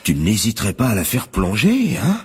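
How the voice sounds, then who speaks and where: A man's voice: fairly low pitch, wildly swooping pitch, moderate pace, darkish tone, breathy edge, full character voice. A man acting in a French radio drama as a wounded, bedridden musician, hesitant yet bitter and defiant.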